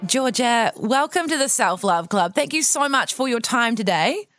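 A woman's voice: fairly high pitch, animated, moderate pace, over music. A woman hosting an interview podcast, introducing the show and putting questions to a guest. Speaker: podcast host.

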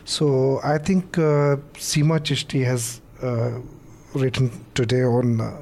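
Man, panel guest, mellow tone, stop-start delivery, faint hiss. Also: mid-range voice, level tone. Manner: halting, many fillers. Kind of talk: casual recommendations on a podcast.

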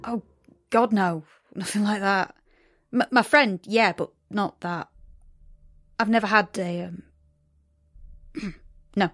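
A woman's voice: medium pitch, takes it slowly, pauses often, a bit bright, no echo. A woman playing a wry, guarded seventeen-year-old girl in a naturalistic science fiction audio drama. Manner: wry, sardonic. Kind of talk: naturalistic audio drama acting with inner narration.

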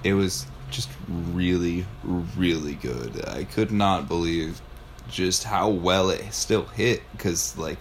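Man, deep voice, podcast host, casual chat, rambling, enthusiastic, swears freely. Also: slow, a little hiss or hum.

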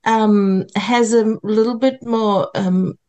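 A middle-aged woman, a soft-spoken podcast guest who talks in a hesitant, reflective way. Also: medium pitch, steady tone. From grew up in New Zealand, of Fijian Indian background. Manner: hesitant, reflective, with many pauses.

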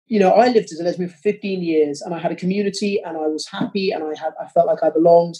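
A man answering interview questions with passionate, quick-flowing arguments. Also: fairly high pitch, steady tone, mellow, poor audio. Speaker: interview guest, parent.

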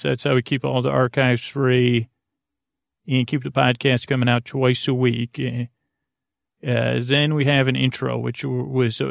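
A man's voice: mid-range voice, no room sound, studio quality. A man hosting a sleep podcast in a rambling monologue, meandering and hesitant, often stumbling over his words. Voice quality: creaky. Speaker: podcast host.

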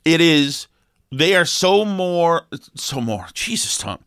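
A man's voice: a little high, big pitch swings, takes it slowly, fairly bright tone, smooth, no echo, studio quality. A man co-hosting an opinionated talk show, sniffly, rambling with lots of fillers and restarts before turning emphatic.

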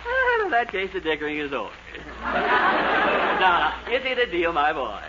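An actor playing a fussy, excitable store boss who blusters and stammers, in a 1940s radio comedy. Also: male voice, high-pitched, a little slow, over a noisy crowd, heavily acted. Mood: excited, coaxing. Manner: blustering, stammering, fawning over customers. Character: fussy, flustered, excitable middle-aged shoe store boss. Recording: muffled, hissy 1940s radio broadcast.

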